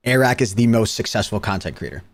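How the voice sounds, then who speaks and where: A man's voice: fairly low pitch, animated, quick pace. A young man live-streaming his reaction to a video, chatting casually and rambling with lots of "like". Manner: rambling, heavy use of "like".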